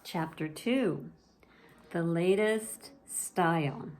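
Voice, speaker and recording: A woman storyteller reading a children's bedtime story aloud in a measured, expressive way. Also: fairly low pitch.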